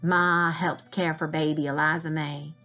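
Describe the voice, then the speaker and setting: A woman's voice: sits low, little pitch movement, a little slow, bright, forceful tone, lo-fi sound, faint music behind. A woman reading a children's diary novel aloud as a teacher would, in storybook narration.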